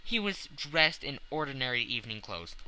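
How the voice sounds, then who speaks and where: Very bright, forceful male audiobook narrator. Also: mid-range voice, big pitch swings, normal speed, smooth, poor audio. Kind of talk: dramatic scripted narration.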